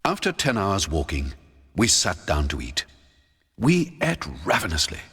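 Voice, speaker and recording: Male narrator, rough husky tone, hugely varied intonation, dramatic first-person storytelling, scripted adventure reading. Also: low-pitched, huge pitch swings, a little slow, a bit bright. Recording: long hall-like reverb.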